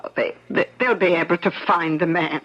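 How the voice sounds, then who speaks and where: An actress playing a worried older wife who gently comforts her husband, faltering and stammering softly, in a 1970s radio drama. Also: lowish voice, steady tone, normal speed. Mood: soothing. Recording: dull lo-fi 1970s radio recording.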